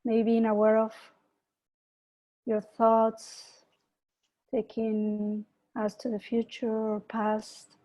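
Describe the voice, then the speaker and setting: A woman from Peru, a mindfulness teacher, giving an online talk and gently guiding a meditation over a video call. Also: on the high side, completely flat delivery, rough recording. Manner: slow, soft guiding voice with gentle invitations. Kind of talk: online presentation and guided meditation.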